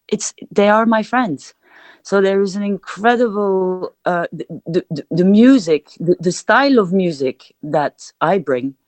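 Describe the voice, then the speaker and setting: A woman's voice: fairly low pitch, a little mellow, no echo. A young adult woman from Belgium, a DJ, talking reflectively in a podcast interview with many restarts. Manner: reflective, many restarts, speaks of feeling and energy. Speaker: DJ guest.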